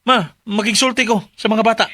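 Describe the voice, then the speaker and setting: A man's voice: sits high, fairly even intonation, brisk, bright, forceful tone. An actor with a Cebuano Filipino accent playing a distraught rural father who pleads for his children in a radio drama. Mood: anguished, pleading. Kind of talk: Bisaya radio drama acting and letter narration.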